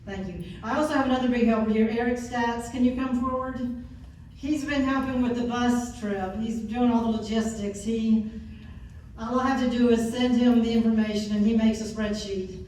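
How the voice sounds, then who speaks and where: A woman's voice: fairly high pitch, fairly even intonation, moderate pace, darkish tone, slight background noise. A woman hosting a community meeting, introducing speakers with frequent hesitant fillers. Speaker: meeting organizer. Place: meeting room. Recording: echoey room, distant mic.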